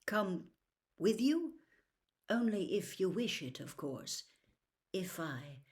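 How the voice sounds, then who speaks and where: A woman voicing a calm, elderly male wizard headmaster in a storybook, soft, measured and grave. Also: medium pitch. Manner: measured, calm, gentle gravity.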